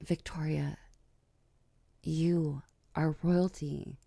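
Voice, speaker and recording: A woman acts out a regal vampire queen for an ASMR audio drama. Her voice is low and mellow, recorded very dry and close. She speaks with measured, hushed poise and a teasing streak. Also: steady tone, gravelly, dry recording, full character voice. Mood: measured, serious. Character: regal, teasing vampire queen, composed older-cousin type. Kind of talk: ASMR audio roleplay acting.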